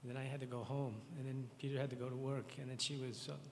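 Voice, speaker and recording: Man, raspy voice, halting tearful eulogy, medium pitch, long hall reverb. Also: level tone, a little hiss or hum. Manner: halting, tearful, repeats words. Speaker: speaker at a memorial service. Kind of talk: emotional memorial address with scripture reading.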